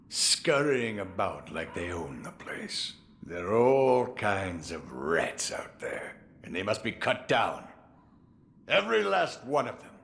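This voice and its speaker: A man's voice: lowish voice, gravelly, fairly bright tone, slight background noise, exaggerated voice. An actor playing a boisterous, menacing old swordsman in a tengu guise, theatrical and commanding, in a video game cutscene. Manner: theatrical, slow, chuckling and commanding.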